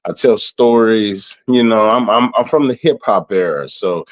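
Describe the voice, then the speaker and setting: A raspy-voiced man in his thirties from the southern United States, a rapper and event planner, talking in a relaxed, rambling way with lots of "you know". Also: on the low side, measured pace. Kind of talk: casual interview.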